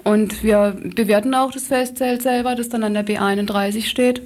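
A woman interviewed on radio as a campaign activist, explaining things spontaneously and haltingly, correcting herself as she goes. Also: a little high, steady tone, a little mellow.

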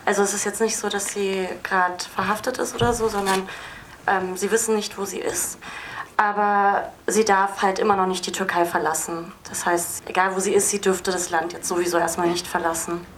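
Female filmmaker, mid-pitched, explaining off the cuff at a festival Q&A, slightly noisy venue recording. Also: level tone, moderate pace. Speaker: film director at an audience Q&A. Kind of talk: spontaneous interview answers. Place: film festival screening venue. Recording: noisy live event recording.

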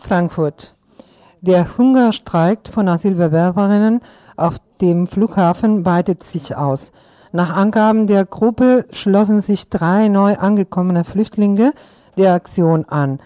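Female news presenter, unhurried delivery, dry and slightly muffled lo-fi radio sound. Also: medium pitch, dry recording. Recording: dull lo-fi radio recording.

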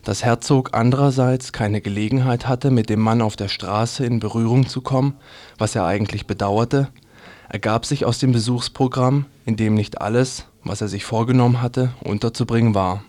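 A man's voice: mid-range voice, fairly even intonation, normal speed, darkish tone. A man reading a scripted news commentary as a radio presenter.

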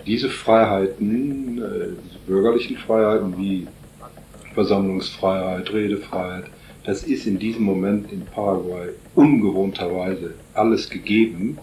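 A man with a very low voice explains political matters in long, winding sentences, often stopping on filler sounds. The recording is roomy, with a faint hiss behind him. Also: darkish tone. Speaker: interviewee on Paraguay politics. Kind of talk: radio interview answers.

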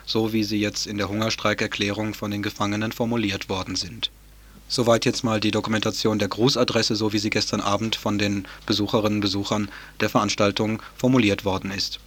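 A man's voice: on the low side, a little fast. A man presenting a community radio news show in a loose, conversational way. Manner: relaxed, loosely worded, conversational. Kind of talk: informal radio news moderation.

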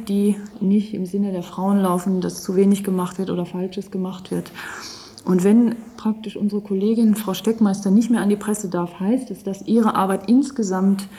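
Female official, mellow timbre, firm and formal statement delivery. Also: mid-range voice. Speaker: women's affairs officer. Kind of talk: spoken public statement.

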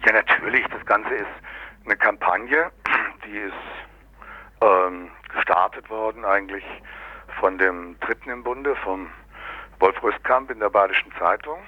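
A man's voice: on the low side, a little slow, dry recording. A man discusses politics critically and off the cuff, sardonic and colloquial, often stopping to search for words and tagging on little question words. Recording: dull lo-fi local radio recording.

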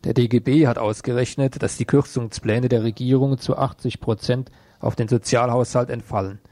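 A man reads the news as a radio presenter. His delivery is sober and even, with little melody, in a close, dry recording. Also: mid-range voice, moderate pace, dry recording. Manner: sober, matter-of-fact. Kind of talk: scripted news reading.

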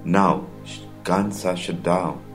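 Sri guy, really low voice, reading a radio intro in halting english, music playing under him. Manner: slow, broken English phrasing. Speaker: radio host. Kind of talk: scripted radio intro narration. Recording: music bed behind the voice.

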